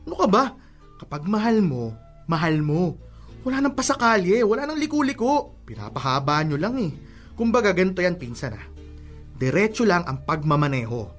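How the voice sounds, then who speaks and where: A man's voice: high voice, normal speed, soft background music. A man with a Filipino accent acting a teasing, pushy young cousin in a radio drama. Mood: urging, scolding. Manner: animated, ribbing, bossy. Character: teasing, pushy young male cousin giving love advice.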